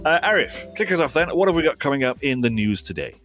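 A man's voice: medium pitch, big pitch swings, quick pace. A man hosting a radio car show, bantering jokily and mocking himself. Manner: jokey, self-mocking banter. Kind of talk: casual radio chat. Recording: music bed behind.

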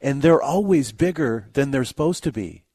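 A man's voice: mid-range voice, expressive, normal speed, mellow, breathy edge, no room sound, studio quality. A man co-hosting a radio show in casual, joking banter with a wry, playful manner. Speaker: radio movie show co-host.

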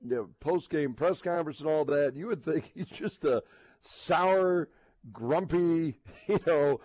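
A man hosting radio sports talk, thinking aloud in a casual, halting, rambling way with repeated words. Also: fairly high pitch, darkish tone, no room sound, poor audio. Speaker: sports radio host, live on location.

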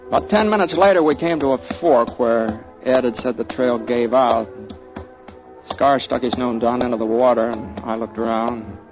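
A man's voice: medium pitch, moderate pace, close to an everyday voice. A man playing a laconic western gunman, easygoing drawl, muffled vintage broadcast, music bed. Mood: laconic narration. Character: laconic frontier gunman narrator, calm and dry. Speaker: lead narrator and hero. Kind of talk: 1950s radio drama acting. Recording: dull old radio broadcast with music.

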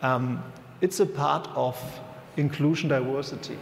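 A man co-presenting at a conference, talking in a halting, conversational way and handing the floor to his partner. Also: medium pitch, fairly even intonation, creaky. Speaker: co-presenter and moderator. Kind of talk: conference panel talk. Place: conference hall. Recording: echoey, reverberant hall, faint noise.

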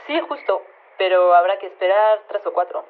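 An actress playing a casual, deadpan scientist interviewed over the phone in a radio fiction, explaining things a little hesitantly. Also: female voice, mid-range voice, normal speed. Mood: matter-of-fact. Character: apologetic, matter-of-fact woman scientist calling a radio show. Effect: phone line filter. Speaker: interviewed virology expert. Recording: tinny narrow phone line.

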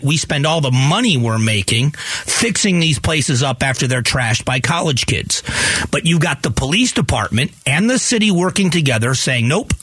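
A man's voice: mid-range voice, a bit bright, breathy edge, no room sound. An American man in his fifties from Florida, hosting a talk radio show with opinionated monologues that repeat phrases for emphasis. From Florida, United States. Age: 50s. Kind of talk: conversational radio monologue on news. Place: radio studio.

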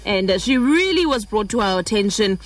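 A South African woman hosting a midday radio show, lively and quick to laugh, switching between languages. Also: medium pitch, far from the mic. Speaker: radio host. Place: radio studio.

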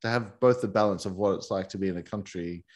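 A man's voice: deep voice, fast talker. A man, a guest in an interview, talking casually and rambling with many fillers and restarts. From Australia. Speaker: guest, massage teacher for couples.

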